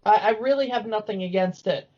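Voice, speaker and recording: A woman's voice: mid-range voice, fairly quick, a little mellow. A woman co-hosting a podcast in a casual, chatty discussion. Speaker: podcast co-host. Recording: dull lo-fi audio.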